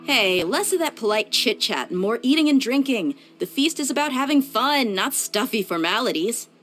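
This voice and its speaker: A brash, breezy warrior. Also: female voice, a little high, lively intonation, normal speed, fairly bright tone, soft background music. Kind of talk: game cutscene dub.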